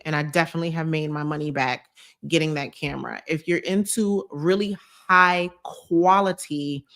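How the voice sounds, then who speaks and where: A woman's voice: low-pitched, a bit bright. Emphatic young woman creator chatting live. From United States, New Jersey. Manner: emphatic, repeats advice, drawn-out phrases. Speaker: YouTube creator. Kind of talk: live-stream Q&A chat, reading comments.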